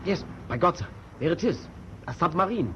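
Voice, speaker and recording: A man's voice: on the high side, animated, moderate pace, a bit bright. An actor playing a dutiful German first officer reporting to his captain in a radio drama. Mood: urgent, excited. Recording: muffled, clearly noisy radio drama recording.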